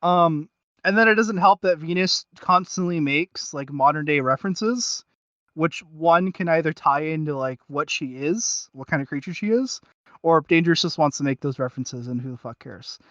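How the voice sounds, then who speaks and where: Male co-host, notably high voice, slangy and self-deprecating, stop-start casual chat, dry and slightly dull sound. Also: a bit bright, no room sound. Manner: halting, repeats himself, slangy, self-deprecating. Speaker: podcast co-host reviewing audio dramas. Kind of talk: casual chat, fan discussion.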